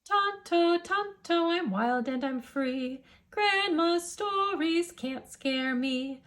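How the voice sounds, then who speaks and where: A woman's voice: extremely high pitch, expressive, slow, a bit bright, voice pushed up, exaggerated voice. A woman voicing a bold, carefree small boy in a singsong storybook read-aloud. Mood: singing, defiant. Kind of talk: singsong picture-book character voice.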